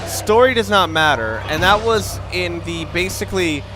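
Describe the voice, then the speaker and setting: A man's voice: high voice, lively intonation, normal speed, over music. A young man giving casual, rambling commentary over a video game, often breaking off mid-sentence and swearing now and then. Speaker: let's play commentator.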